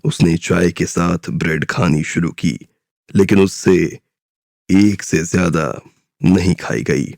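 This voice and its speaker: A man tells the story in a slow, measured voice. His tone sits very low and has a gravelly rasp. Soft background music plays under the narration. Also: dry recording, clean studio sound.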